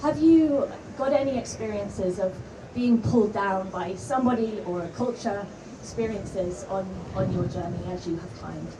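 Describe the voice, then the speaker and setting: A woman's voice: mid-range voice, moderate pace, few pauses, background noise. Polite woman asking a question from the crowd, some ums, far off mic. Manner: polite, warm, hesitant fillers. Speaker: audience member asking a question. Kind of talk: audience question at a panel.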